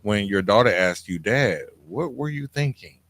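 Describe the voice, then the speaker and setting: Low-voiced man hosting a casual podcast. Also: lively intonation, moderate pace, fairly bright tone. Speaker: podcast host. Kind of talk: casual interview.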